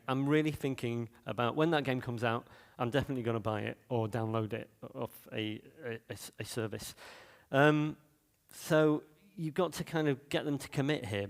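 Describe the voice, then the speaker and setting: A man from Manchester with a Mancunian accent, giving a chatty conference talk full of fillers and restarts. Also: medium pitch. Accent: Mancunian English. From Manchester, England. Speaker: conference speaker. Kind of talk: conference talk on game marketing. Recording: long reverb of a hall.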